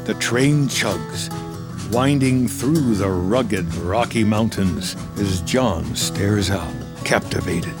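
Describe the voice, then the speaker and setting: Slow, low-voiced male narrator. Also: over loud music. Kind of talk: scripted screenplay narration.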